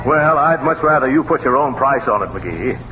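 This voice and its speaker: A man's voice: mid-range voice, animated, moderate pace, natural voice. A man playing a polite, hesitant official visitor in a 1930s radio comedy, with formal, courteous speech. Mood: agreeable. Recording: noisy, muffled 1930s radio broadcast.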